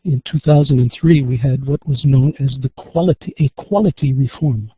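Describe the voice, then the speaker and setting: An American-accented man presenting in a webinar, measured and pausing with frequent fillers, on tinny phone-like audio. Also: medium pitch, husky, no room sound. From USA. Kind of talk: presentation talk.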